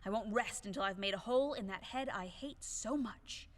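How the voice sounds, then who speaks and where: A woman's voice: mid-range voice, normal speed, close to an everyday voice. A woman acting a proud, fiery, indignant lady in an audio play, imperious and melodramatic. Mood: scornful, challenging. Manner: imperious, melodramatic, contradicting herself. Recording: long hall reverb.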